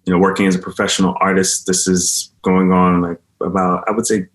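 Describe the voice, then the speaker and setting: Deep-voiced man in an echoey room. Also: flat delivery, a little fast. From United States. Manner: hesitant, many ums and restarts. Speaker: interdisciplinary artist, panelist. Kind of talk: panel conversation.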